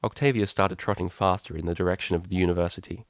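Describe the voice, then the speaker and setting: A man narrates a story in a lowish voice. He reads in a measured, expressive storytelling style. The sound is dry, with very little room in it. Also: dry recording. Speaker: fan fiction reader, narrator.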